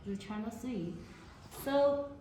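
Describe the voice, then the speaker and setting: Slow-paced woman in a very echoey room. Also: on the high side, warm tone. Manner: halting, non-native English phrasing. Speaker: product demonstrator. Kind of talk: product demonstration to camera. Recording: very echoey room, faint noise.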